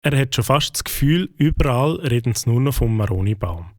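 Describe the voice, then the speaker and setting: A man narrating a children's cartoon calmly with a Swiss German accent. His voice sits mid-pitch and is recorded dry and close. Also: fairly quick, dry recording. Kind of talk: storybook narration.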